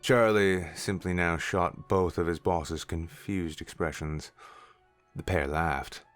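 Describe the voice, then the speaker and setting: A man's voice: sits low, steady tone, slow, faint music behind. A man narrating a scripted story in the third person.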